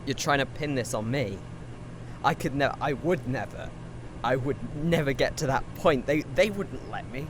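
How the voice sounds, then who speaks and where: A man's voice: medium pitch, expressive, normal speed, noisy background, lightly acted. An actor playing a frightened, defiant seventeen-year-old boy in an audio drama, pleading and disbelieving. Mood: pleading denial.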